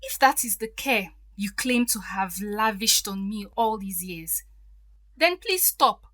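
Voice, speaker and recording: A woman's voice: mid-range voice, lively intonation, slow pace, fairly bright tone, no room sound, clean studio sound. An actress playing a hurt, defiant stepdaughter in her thirties in an audio drama, emotional and pleading. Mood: wounded, angry.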